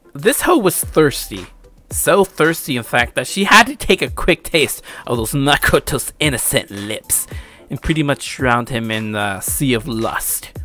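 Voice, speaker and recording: A man's voice: medium pitch, fairly bright tone. A young adult man giving casual, opinionated anime commentary in a slangy way with some swearing.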